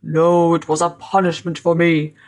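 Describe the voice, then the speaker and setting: Woman voicing a huge, slow, menacing storybook spirit. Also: monotone, close to an everyday voice. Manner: slow, booming, archaic, imperious speech. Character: huge terrible male spirit, menacing and haughty. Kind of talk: storybook character voice.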